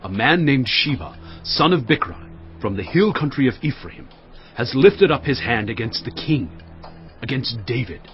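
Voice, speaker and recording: An actor playing a hard-edged army commander of ancient Israel in a dramatized audio Bible, emphatic and declamatory. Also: male voice, medium pitch, expressive, normal speed, close to an everyday voice. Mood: firm protest. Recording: dull band-limited audio with music bed.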